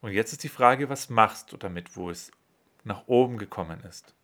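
A man leading a guided body-awareness meditation in a slow, calm, soothing way. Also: on the low side.

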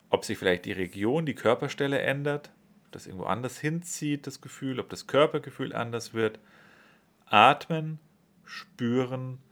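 A man leading a guided meditation, giving slow, soothing instructions with long pauses. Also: medium pitch. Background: soft instrumental music.

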